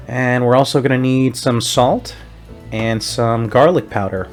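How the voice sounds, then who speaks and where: Young man, cooking vlog host, upbeat and chatty, mid-pitched voice, light music underneath. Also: fairly even intonation, a little slow. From Puerto Rico. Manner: upbeat, chatty, step-by-step instructions. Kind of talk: casual recipe walkthrough.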